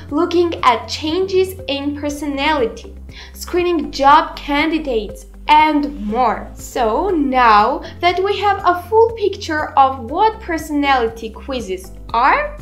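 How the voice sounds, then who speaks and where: A woman in her twenties presents a scripted tutorial in a high, girlish pitch. She takes her time over each phrase, speaking slowly and deliberately. Music plays behind her voice. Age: 20s. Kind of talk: scripted narration, software tutorial. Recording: roomy echo, music behind. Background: background music.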